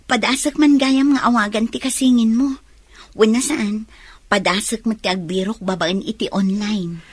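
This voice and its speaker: An eager, chummy young Ilocano nurse in a radio drama. Her voice is fairly high and recorded close and dry. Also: steady tone, normal speed, breathy edge, no echo. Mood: disappointed, chatty.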